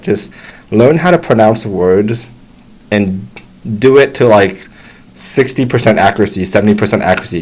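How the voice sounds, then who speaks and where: A young American man giving relaxed, encouraging advice to English learners, talking casually with frequent restarts. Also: on the low side. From United States. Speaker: English tutor. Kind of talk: casual talk to camera.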